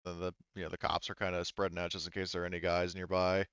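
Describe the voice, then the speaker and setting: Male game master, casual and halting, smooth tone, close dry sound. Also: low voice, completely flat delivery, a bit bright, dry recording, dull audio. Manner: halting, informal, sweary. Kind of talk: casual improvised narration of a tabletop roleplaying game.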